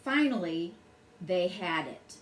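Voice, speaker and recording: A woman reads a bedtime story aloud to children with expressive, dramatic phrasing. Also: fairly low pitch, slow. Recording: roomy echo, faint background noise.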